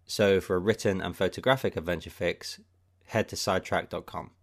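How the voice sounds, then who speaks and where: Deep-voiced man hosting a podcast. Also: steady tone, fairly quick. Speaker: podcast host. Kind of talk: scripted podcast introduction.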